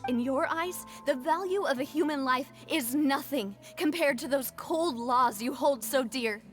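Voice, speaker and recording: A woman's voice: high-pitched, a little slow, soft background music. An actress playing a proud, wounded young noblewoman in a video game, articulate and dramatic. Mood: bitter, accusing. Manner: articulate, dramatic, emotionally direct. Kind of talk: English video game voice acting.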